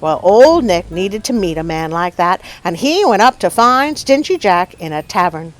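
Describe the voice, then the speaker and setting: Female storyteller, animated folksy delivery with swooping pitch, light music underneath. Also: normal speed. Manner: animated, folksy, addresses listeners as friends. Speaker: host and storyteller of a children's story show. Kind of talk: expressive scripted storytelling.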